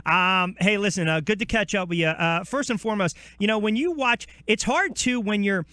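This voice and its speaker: A man's voice: high-pitched, moderate pace, sharp tone, dry recording, clean studio sound. A man with an American accent hosting a sports radio interview, chatty, with long rambling questions that restart midway.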